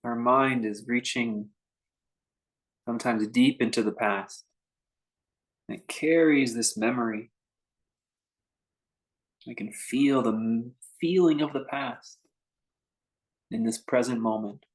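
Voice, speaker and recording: A man guiding a meditation in an informal, conversational way, speaking in short phrases with unhurried pauses between them. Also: mid-range voice. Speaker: meditation teacher leading a guided meditation. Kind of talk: unscripted guided meditation talk.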